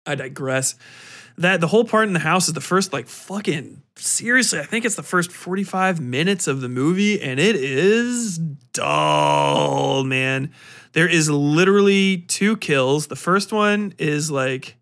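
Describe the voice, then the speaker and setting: Male podcast host, slightly high voice, loose and rambling delivery, animated, swears freely, slangy everyday talk. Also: measured pace. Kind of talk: casual rambling film commentary.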